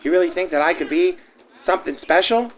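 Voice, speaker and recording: A man's voice: high voice, fast talker, highs cut off, slight background noise, close to an everyday voice. A man voicing a perky, naive, self-absorbed small-town girl in an improvised comedy radio play, rambling in folksy gosh-golly talk. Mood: perky, flattered.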